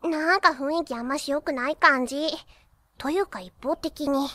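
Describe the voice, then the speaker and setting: A woman's voice: high voice, normal speed, a bit bright, no echo. A woman playing a perky, cheeky teenage idol magical nurse in an anime, with cutesy catlike tics. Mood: nosy. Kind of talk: anime character acting and excited narration.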